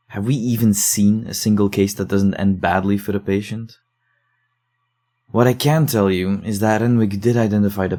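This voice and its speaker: Male actor as sombre investigator narrating horror case files: deep, flat delivery, measured and deadpan. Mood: wry, unsettled. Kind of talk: scripted horror audio drama narration.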